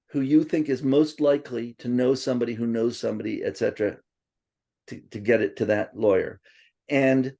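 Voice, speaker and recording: A man's voice: medium pitch, gravelly, dark tone. A man being interviewed, reminiscing casually and rambling with frequent restarts and asides, who grew up speaking Swedish first. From born in Princeton, raised partly in Tokyo.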